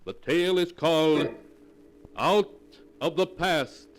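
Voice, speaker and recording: A man's voice: on the high side, slow pace, noisy background. A man narrating in the melodramatic scripted style of a 1940s radio announcer, on an old recording.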